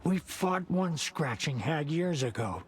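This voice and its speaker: A man's voice: on the high side, animated, takes it slowly, breathy edge, exaggerated voice. A man playing a sorrowful old poet-rocker in a video game, solemn and dramatic. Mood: grieving, bitter. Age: older. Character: old, sorrowful, poetic rocker brother speaking in riddles. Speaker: supporting character in a video game. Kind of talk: cinematic game acting.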